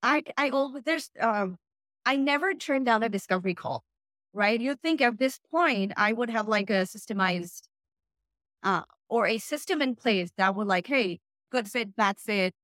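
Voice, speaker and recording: A woman from Pakistan, English not her first language, giving frank, energetic advice as an interview guest over a video call. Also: fairly high pitch, unhurried, no echo. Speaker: guest, freelance writer. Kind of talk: casual interview talk.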